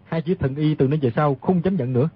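Learned, troubled martial-world physician for radio drama; formal, brisk, raspy, slightly dull sound. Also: male voice, on the high side, no echo. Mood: ashamed. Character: learned physician, proud man humbled and troubled. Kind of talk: dramatised audiobook reading.

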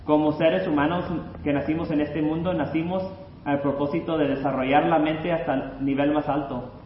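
A man's voice: on the high side, steady tone, quick pace. A man interpreting a sermon live, hesitant and full of fillers and restarts. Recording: roomy lo-fi recording with faint noise.

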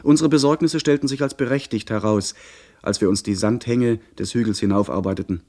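Low-voiced earnest young adventurer, played by a man. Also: a little mellow, lightly acted. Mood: watchful, recounting. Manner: measured, literary, earnest. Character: earnest young gentleman narrating his pirate adventure. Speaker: narrator. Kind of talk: dramatic scripted narration, 1970s German radio drama.

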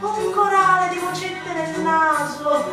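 A high-voiced woman performs a satirical literary monologue in a mocking, declamatory style. She takes her time, stretching words out for theatrical emphasis and swooping through her phrases. The sound is very echoey, with music present. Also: takes it slowly. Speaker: stage actress reciting a literary text. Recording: very echoey, distant from the mic.

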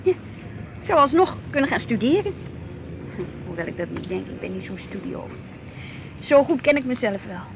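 A woman's voice: mid-range voice, sing-song, normal speed, creaky, long pauses, background noise. An actress playing a restless married woman who longs for adventure and presses her husband impatiently, in a radio play. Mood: hopeful, reasoning. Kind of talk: radio drama acting.

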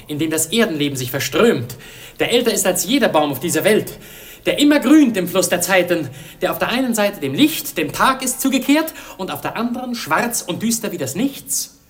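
An actor playing an ardent, confident young prince in a German radio play, declaiming poetically and rapturously. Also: male voice, sits high.

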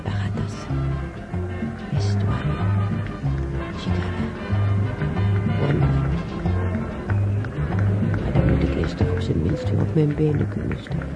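An actress playing an elderly bedridden widow in a radio drama, musing haltingly and wistfully over her memories. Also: low-pitched, very animated, loud music behind the voice, exaggerated voice, rough recording. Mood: musing, nostalgic. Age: older. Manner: halting, musing, with sighs and whispers.

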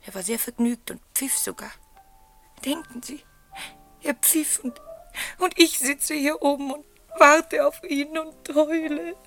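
An actress playing a wounded, emotional wife in a German radio play, dramatic, dropping into hushed tones. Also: female voice, high voice, measured pace, pauses often, faint music behind. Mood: hurt, agitated.

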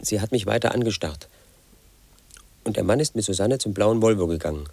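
An actor in his thirties playing an obsessive, aggrieved man who tells his story in a radio play monologue, in a flat, insistent, quietly resentful way. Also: lowish voice, brisk, creaky, loud music behind the voice. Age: 30s. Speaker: narrator of his own story.

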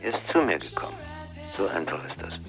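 A man's voice: low-pitched, sing-song, fast, music in the background. An actor playing a terse, resigned, solitary man in a radio crime drama.

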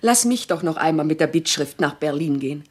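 An actress playing a devoted, anxious but determined wife in a radio drama, earnest and pleading in old-fashioned language. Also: female voice, sits low, expressive, brisk, breathy edge. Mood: urgent.

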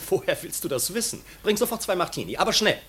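Wildly animated, flippant marquis. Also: male voice, sits high, fast talker, full character voice. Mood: bossy, breezy. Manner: flippant, impatient, bossy. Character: breezy, imperious, wealthy marquis, teasing his servant. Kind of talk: 1950s German radio play acting. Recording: noisy vintage radio recording.

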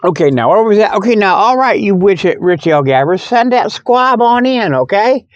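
A man's voice: sits high, lively intonation, normal speed, no room sound. A man hosting a rambling, casual show from his parked truck in a folksy, jokey, exclamatory way. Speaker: podcast host. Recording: dull, muffled lo-fi audio.

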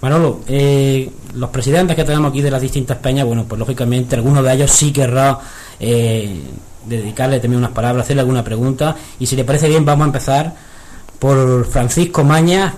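A man's voice: medium pitch, fairly quick, a little mellow. A man hosting a radio interview, asking questions formally and politely. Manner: formal, uses usted. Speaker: radio host.

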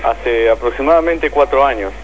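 A man's voice: medium pitch, normal speed. A man with an Argentine accent calling in to a radio show, chatting casually and somewhat hesitantly. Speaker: phone-in caller. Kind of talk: casual chat. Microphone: telephone call. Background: clear music.

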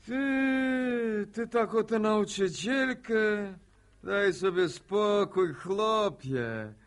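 Radio drama: glib schemer, boastful, lively intonation, drawn-out delivery. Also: male voice, sits high, exaggerated voice. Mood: needling. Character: cocky, wheedling village schemer, male, talking his way out.

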